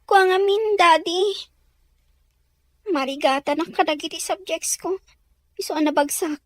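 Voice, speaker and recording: A woman's voice: very high voice, unhurried, no room sound, clean studio sound. A woman with an Ilocano accent playing a sullen, defensive young student daughter in an audio drama. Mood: defensive, pleading.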